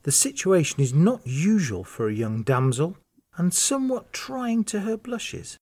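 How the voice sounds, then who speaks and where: Narrator voicing a discreet chaplain; measured, slightly raised, lilting. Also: male voice, on the high side, sing-song, voice pushed up, exaggerated voice. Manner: quiet, measured. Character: tall, discreet middle-aged priest.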